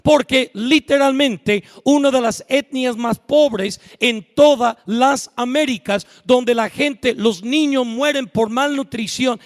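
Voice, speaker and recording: Male preacher, high-pitched, emphatic sermon delivery, recorded in a church with a long echo. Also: slow pace, few pauses. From United States. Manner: emphatic, rising to shouts at peaks. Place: church sanctuary. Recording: church hall reverb.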